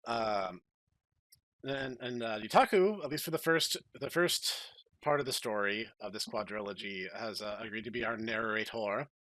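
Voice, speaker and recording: A man hosting a podcast with playful banter, talking in a mock-grandiose, theatrical way and often repeating words haltingly as he goes. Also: fairly low pitch, a bit bright, no room sound. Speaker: podcast host.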